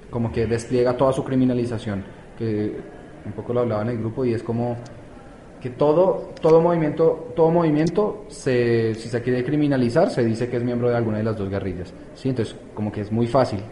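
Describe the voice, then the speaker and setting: A man's voice: medium pitch, warm tone, a little hiss or hum, poor audio. A man from Colombia, answering interview questions as a youth activist, hesitant with many fillers and restarts.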